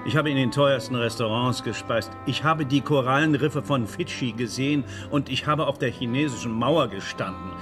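Older male, mid-pitched, skeptical and formal radio-play veteran, man acting, music behind him. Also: normal speed, hardly any pauses, lightly acted. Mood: proud, weary. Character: dignified, skeptical, disillusioned old male actor.

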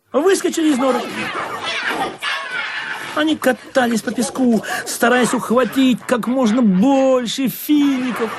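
A man giving expressive, dramatic first-person narration in a theatrical radio play. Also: high voice, noisy background. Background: music.

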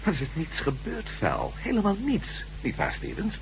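A man's voice: a little high, huge pitch swings, normal speed, vocal fry, a little hiss or hum, full character voice, low-quality recording. An actor playing a cooperative, wry male reporter and adventurer in a radio drama.

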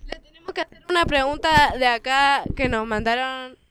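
A woman's voice: high-pitched, normal speed. A woman with an Argentine accent doing an improvised acting exercise, with some noise behind her. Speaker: improv theatre student. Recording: faint background noise.

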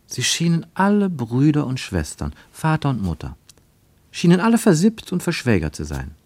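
A man with pronunciation reading literary prose for radio, measured and deliberate, with emphatic phrasing and pauses. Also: on the high side, wildly swooping pitch, a little mellow, no echo. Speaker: narrator, reader of literary prose. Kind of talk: scripted literary narration for German radio.

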